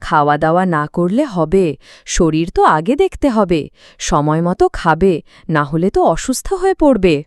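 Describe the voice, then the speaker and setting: A woman's voice: fairly low pitch, big pitch swings, moderate pace, smooth, no room sound, clean studio sound. A woman voicing a caring, fretful middle-aged village mother in a cartoon folk tale. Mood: motherly concern. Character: aging village mother, caring, fretful about money and family.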